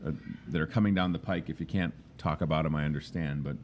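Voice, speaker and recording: A man hosting a local talk show, loud and enthusiastic, speaking in an emphatic, animated way. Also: extremely low pitch, fast, slight background noise. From Midwestern United States. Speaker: host. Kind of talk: casual interview chat.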